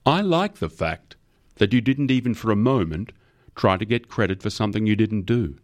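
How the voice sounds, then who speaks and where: A man's voice: lowish voice, expressive, moderate pace, pitched above the performer's own voice, lightly acted. A man voicing a powerful, jovial company boss in an audiobook, blunt, emphatic and forthright. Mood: curious, approving.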